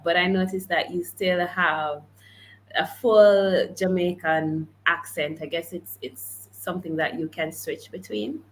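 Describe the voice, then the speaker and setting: A woman's voice: on the low side, a bit bright, a little hiss or hum, rough recording. A woman hosting an interview, asking questions in a conversational way and sometimes stumbling over words. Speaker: interview host.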